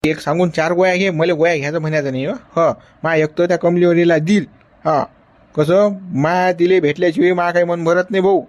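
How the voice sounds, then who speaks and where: A cranky, lovesick old man, played by a man with a Varhadi accent, whining and pleading. His voice sits high for a man. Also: steady tone, brisk, lo-fi sound, natural voice. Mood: stubborn. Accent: Varhadi Marathi. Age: older. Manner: whiny, insistent pleading. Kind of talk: comedy sketch acting.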